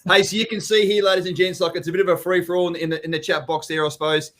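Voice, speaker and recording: A man's voice: high voice, level tone, quick pace, a bit bright. An Australian man co-hosting a casual live online chat, talking in an informal, blokey way and swearing freely. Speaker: co-host of a property live Q&A, company general manager. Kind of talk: casual live chat, reading out viewer comments. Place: live online video stream.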